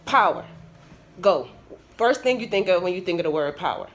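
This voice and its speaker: A woman's voice: fairly low pitch, expressive, normal speed, a bit bright, a little hiss or hum. A woman hosting a casual vlog, talking in a loose, profane, playful way. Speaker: vlog host.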